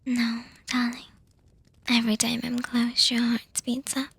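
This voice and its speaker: Woman playing a breathy whispering vampire girlfriend. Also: fairly high pitch, completely flat delivery, dry recording, exaggerated voice. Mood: teasing, affectionate. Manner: slow, hesitant pauses with soft hums. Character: young female vampire girlfriend, doting, refined, gently teasing. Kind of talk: ASMR girlfriend roleplay audio. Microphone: close microphone, dry.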